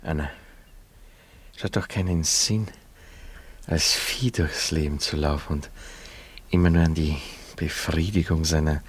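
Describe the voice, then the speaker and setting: A man's voice: a bass voice, steady tone, unhurried, long pauses, slightly rough, exaggerated voice. An actor playing a cynical, self-pitying womaniser in a radio drama, wheedling and mock-solemn.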